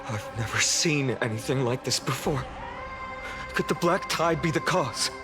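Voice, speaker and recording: A man's voice: medium pitch, animated, a little slow, music in the background, natural voice. An actor voicing an earnest, dutiful young male warrior leader in a video game, with a solemn, heroic delivery. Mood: resolute, grave. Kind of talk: English video game voice acting.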